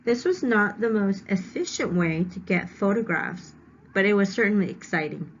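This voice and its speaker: A woman's voice: mid-range voice, normal speed, a little hiss or hum. A woman reading aloud as a teacher, stopping to explain words simply to young students. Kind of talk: read-aloud lesson with simple word explanations. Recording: dull, lo-fi home recording.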